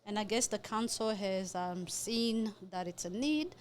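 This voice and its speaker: A woman from Rwanda, a community leader, answering interview questions in a friendly, relaxed way, with frequent ums. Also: mid-range voice, measured pace. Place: community centre building, on location.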